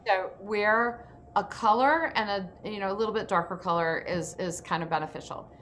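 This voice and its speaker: A woman's voice: mid-range voice, normal speed, a bit bright, a little hiss or hum. A woman hosting a workshop, explaining her advice emphatically as a coach in a live online session. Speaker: panel host and acting coach.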